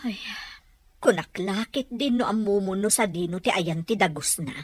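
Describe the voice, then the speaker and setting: A woman playing an anxious, longing mother with a husky voice in an Ilocano radio drama. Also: medium pitch, moderate pace, no room sound, lightly acted. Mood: anxious, insistent.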